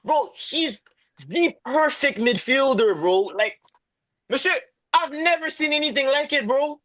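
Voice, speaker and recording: A young man co-hosting a podcast, making emphatic, excited football claims in casual slang. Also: very high-pitched, a little slow. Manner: emphatic, peppers every line with "bro". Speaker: podcast co-host.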